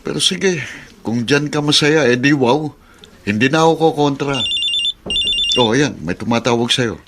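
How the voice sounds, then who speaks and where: A man's voice: medium pitch, animated, a little slow, noisy background. An actor playing a young man who teases his cousin in an easygoing, mocking way. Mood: teasing. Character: teasing young male cousin.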